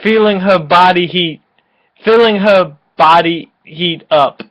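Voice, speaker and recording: A person giving a tipsy, mock-dramatic reading of comic fan fiction, halting and often giggly. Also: mid-range voice, fairly bright tone, rough recording. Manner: giggly, frequently breaking into laughter; melodramatic, halting delivery.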